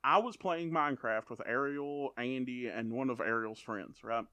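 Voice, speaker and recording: A young man from South Kentucky, co-hosting a podcast and telling stories with lively acted-out quotes. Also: mid-range voice, normal speed, smooth, no echo. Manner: animated storytelling, acts out quotes. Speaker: podcast co-host. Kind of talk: casual chat.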